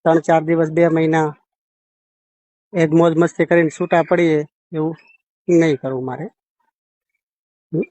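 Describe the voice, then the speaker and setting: A man's voice: on the high side, a little mellow, no echo. A man chatting casually on a phone call, colloquial and full of questions. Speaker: phone caller. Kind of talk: casual private phone chat. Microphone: phone call recording.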